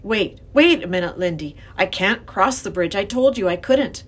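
Woman as a bewildered, animated older professor. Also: medium pitch, normal speed, natural voice. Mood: puzzled. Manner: old-fashioned, genteel phrasing. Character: kindly, anxious older male professor, bewildered and hesitant. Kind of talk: acted children's storybook reading.

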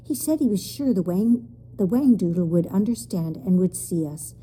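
A woman's voice: fairly low pitch, lively intonation, moderate pace, mellow, breathy edge. A woman voicing an earnest, tearful little girl who pleads in a children's storybook. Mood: explaining. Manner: plaintive, pleading. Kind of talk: children's storybook character reading.

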